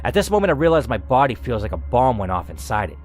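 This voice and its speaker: A man's voice: mid-range voice, sing-song, fast talker, a little mellow. A man reading a story game aloud as channel host, moving between dramatic narration and casual asides. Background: faint music.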